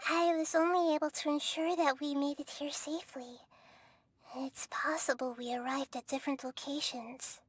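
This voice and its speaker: Actress voicing a small girl goddess for a game: high, soft and calm, reassuring and selfless. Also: moderate pace, no room sound, lightly acted. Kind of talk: game cutscene voice acting.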